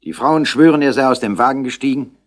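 An actor playing a worldly, sardonic police official on the Riviera in a 1960s German radio crime drama, suave and wryly exasperated. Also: male voice, medium pitch, fast talker, close to an everyday voice.

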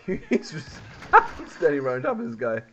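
Male gamer, muffled noisy home recording, unhurried halting commentary, mid-range voice. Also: on the high side, huge pitch swings, fairly bright tone. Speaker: video game player. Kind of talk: casual gameplay commentary.

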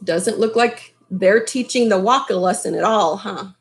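A middle-aged woman reading a picture book aloud expressively, in an unhurried way, with casual asides to young listeners. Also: mid-range voice. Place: small furnished room at home, indoors. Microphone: webcam at desk distance.

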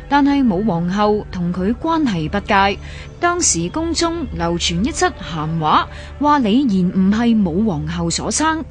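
Expressive Cantonese woman narrator over music. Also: medium pitch. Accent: Hong Kong Cantonese. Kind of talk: scripted historical narration. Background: background music.

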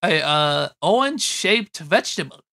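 A man's voice: fairly high pitch, animated, normal speed, vocal fry, pushed and bright, full character voice. A man voicing a dim, easygoing hairy monster who rambles hesitantly in an improvised comedic fan dub. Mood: confused.

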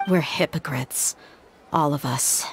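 An actress playing a weary, candid female desert mercenary in a video game, speaking in a reflective, measured way. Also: sits low, soft background music. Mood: bitter reflection. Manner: reflective, plain-spoken, measured.

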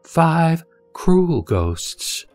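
A man reading scripture from a script in a solemn, formal manner, phrase by measured phrase. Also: on the high side, big pitch swings, darkish tone, no echo. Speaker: reciter of Buddhist scripture. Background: background music.

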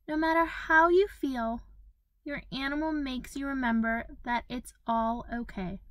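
A woman leading a guided meditation in a gentle, soothing manner, with pauses between phrases. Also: high-pitched, dry recording.

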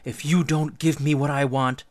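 Storybook goddess male reader: furious, petulant, demanding, raised pitch, dry sound. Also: animated, moderate pace, no echo. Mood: menacing. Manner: petulant, shrill outbursts and hissed threats. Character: furious, sobbing, spoilt goddess, vengeful and demanding.

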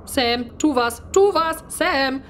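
Excitable, high-voiced woman spaceship pilot, acted by a narrator. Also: takes it slowly, slight background noise, full character voice. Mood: alarmed. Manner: exclaiming, pleading. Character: excitable, soft-hearted female spaceship pilot.

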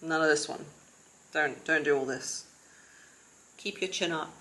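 A woman in her thirties gives relaxed advice from a sofa at home, her voice low and settled. She holds a calm, steady, near-monotone delivery, talking to a camera set a little way off. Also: unhurried, rough recording. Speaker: vlogger and confidence coach. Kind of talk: casual advice vlog to camera. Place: living room, seated on a sofa.

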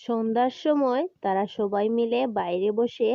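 A woman's voice: fairly high pitch, normal speed, creaky, mellow, dull audio, a little hiss or hum. A woman narrating a scripted storybook tale for a cartoon.

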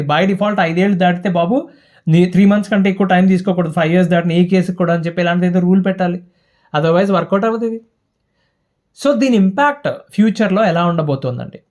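Fast-talking high-pitched Telugu man. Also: a little mellow. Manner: poses questions and answers them himself; informal, colloquial, mixes in English terms. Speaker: host of a legal awareness channel. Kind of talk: conversational explainer to viewers.

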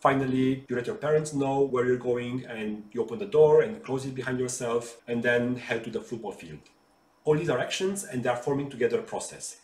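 A man's voice: medium pitch, brisk, a little mellow, distant mic. Man explaining to kids in an echoey room. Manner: simple, patient explanations with hand gestures. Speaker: RPA developer teaching kids. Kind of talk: friendly explainer for children. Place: bright living room with sofa. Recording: roomy echo, voice from off-mic.

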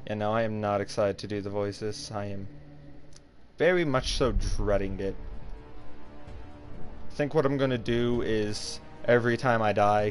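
A man chatting casually on a live game stream in a reluctant, self-deprecating way. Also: lowish voice. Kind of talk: casual live-stream chat while gaming. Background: fighting game music.

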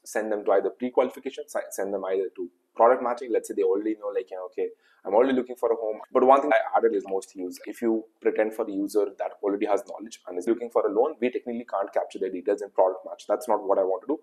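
A young man with an Indian English accent talks fast through a screen-recorded tutorial. His voice sits fairly low and his talk is loose and full of fillers, recorded on a webcam mic indoors. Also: steady tone, dark tone, poor audio. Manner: quick, loose, with frequent fillers. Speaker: tutorial host. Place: indoor room.

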